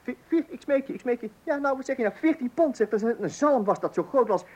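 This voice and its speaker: An actor playing a boyishly enthusiastic husband and amateur angler in a radio play, eager, stammering and repeating himself. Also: male voice, extremely high pitch, expressive, fast, darkish tone, breathy edge, heavily acted. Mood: enthusiastic, pleading. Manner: stammering, repetitive, eager, full of interjections.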